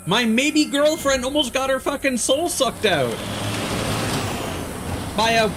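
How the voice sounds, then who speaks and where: A man's voice: very high-pitched, slow. A man doing casual, sweary gameplay commentary as a streamer, with theatrical delivery. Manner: animated, sweary, theatrical outbursts. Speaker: game streamer. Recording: game music behind voice.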